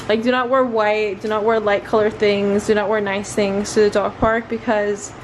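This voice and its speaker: A woman's voice: mid-range voice, little pitch movement, moderate pace, slight background noise. A woman chatting casually in a vlog, rambling along in a self-deprecating way. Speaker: vlogger.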